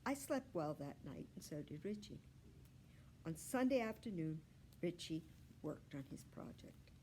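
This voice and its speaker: A woman reading a children's storybook aloud in an animated, expressive way. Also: lowish voice, measured pace, slight background noise, rough recording.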